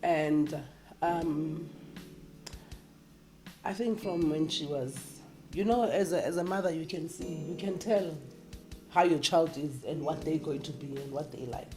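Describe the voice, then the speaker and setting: A woman's voice: low-pitched, a little slow. A middle-aged woman from Cape Town with a South African accent, chatting as an interview guest and slipping into Xhosa phrases. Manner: mixes in Xhosa phrases, laughs often. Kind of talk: casual interview chat. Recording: echoey room with loud music bed.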